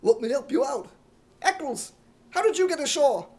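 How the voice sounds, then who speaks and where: A man playing an excitable, blustering, gullible hero with a British accent in a radio comedy, frantic and exclamatory. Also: very high-pitched, normal speed, voice pushed up. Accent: imitated British. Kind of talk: 1950s radio comedy acting.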